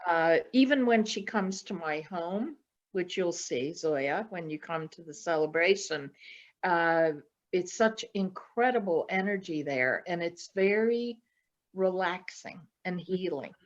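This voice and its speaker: A woman chatting and telling personal stories in a slow, deliberate way over a video call. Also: lowish voice, expressive. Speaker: podcast guest. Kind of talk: casual conversational storytelling. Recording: dull, lo-fi video call audio.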